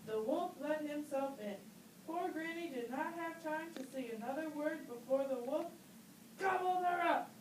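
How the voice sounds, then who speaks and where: A woman reads scripted narration for a children's puppet show. Her voice is high. She is recorded at a distance in a roomy space with faint noise. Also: a little slow.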